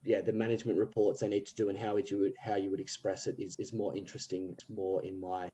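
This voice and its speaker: Brief: male English teacher, warm dark tone, relaxed and conversational. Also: on the low side, fast. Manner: relaxed, thinking aloud with fillers. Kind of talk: casual podcast conversation.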